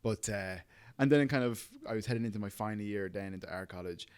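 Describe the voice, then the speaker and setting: An Irish man in his thirties talks fast in a casual podcast chat. His voice sits low, and his sentences wander with plenty of filler as he goes. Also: darkish tone, clear, resonant tone. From Ireland. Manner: rambling, full of fillers like "you know". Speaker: guest, tattoo artist.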